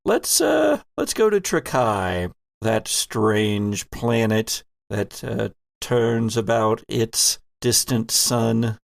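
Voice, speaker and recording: A man narrates a horror tabletop game as its game master, in a theatrical and deliberate way. His voice is slow, with a crackly, gravelly edge, and the sound is very dry. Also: fairly low pitch, very animated, no echo. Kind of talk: dramatic narration for an actual-play horror audio drama.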